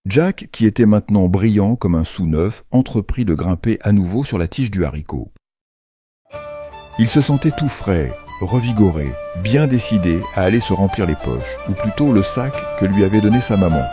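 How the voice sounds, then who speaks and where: A man's voice: fairly low pitch, expressive, moderate pace. A man narrating a scripted children's fairy tale as storyteller. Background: faint music.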